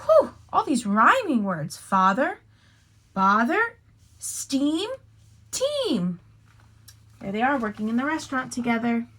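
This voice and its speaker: A woman with a high, bright voice reads a picture book aloud for children. Her delivery is slow and very animated, with expressive phrasing and friendly teacherly asides to young listeners.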